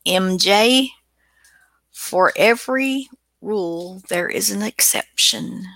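A woman's voice: mid-range voice, slow, a bit bright, dry recording. A woman hosting a radio show in casual chat, with a folksy, teasing manner.

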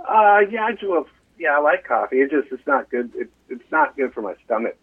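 A man's voice: medium pitch, very animated, a little fast, breathy edge. A middle-aged American man, a musician guest on a show, chatting casually over a muffled lo-fi call. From United States. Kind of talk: casual chat.

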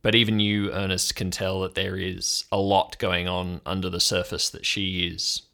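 A man's voice: deep voice, level tone, moderate pace, gravelly, bright, forceful tone, smooth. A man acting as game master, narrating an improvised audio drama.

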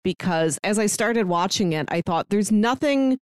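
A woman's voice: mid-range voice, lively intonation, moderate pace, darkish tone, clear, resonant tone, no echo, studio quality. A woman co-hosting a podcast, giving articulate, opinionated takes in a casual film review chat. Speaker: podcast co-host.

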